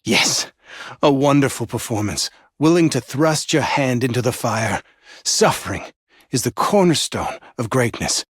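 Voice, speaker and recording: A man playing a grandiose, condescending overseer of a sinister facility in a video game, with theatrical, measured, patronizing delivery. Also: medium pitch, animated, breathy edge, dry recording, studio quality. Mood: grandiose praise. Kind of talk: scripted video game villain voice lines.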